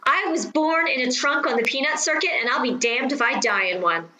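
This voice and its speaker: Catty, high-voiced stage diva. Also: female voice, fairly quick, sharp tone, roomy sound, low-quality recording. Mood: hard, defiant. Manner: theatrical, drawling, condescending endearments. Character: catty, worldly vaudeville-born stage actress, haughty and seductive. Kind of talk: radio play acting.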